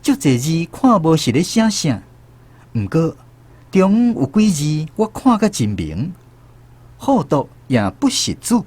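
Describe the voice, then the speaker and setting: Animated high-voiced Taiwanese male storyteller. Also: slow, breathy edge. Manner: expressive storyteller. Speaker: narrator. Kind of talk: first-person radio drama storytelling.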